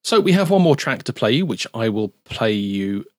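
A man's voice: mid-range voice, sing-song, normal speed, no echo, clean studio sound. A man co-hosting a music podcast, chatting casually between tracks with rambling asides. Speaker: podcast co-host. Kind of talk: casual radio-style chat between tracks.

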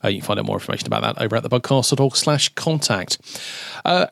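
A man co-hosts a music podcast in a casual, friendly way. His voice is plain and mid-pitched, recorded close and dry in a studio. He chats loosely and often restarts words mid-sentence. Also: fast talker, creaky, fairly bright tone, no echo. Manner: chatty, cheery, often stumbles and restarts words. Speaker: podcast co-host.

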